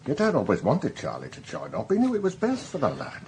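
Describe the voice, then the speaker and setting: An actor playing a touchy, plain-spoken husband in a radio drama, with a blunt, colloquial way of talking. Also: male voice, fairly high pitch, huge pitch swings, brisk, noisy background. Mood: coaxing. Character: small, touchy, blunt husband, tender to his wife.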